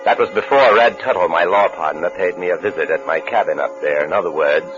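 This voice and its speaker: Actor as a deep-voiced, bitter, ruined man. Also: brisk, natural voice. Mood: brooding, resigned. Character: bitter, disgraced lawyer husband recounting his own downfall. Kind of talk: 1950s radio suspense acting with first-person narration. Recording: muffled old radio broadcast recording.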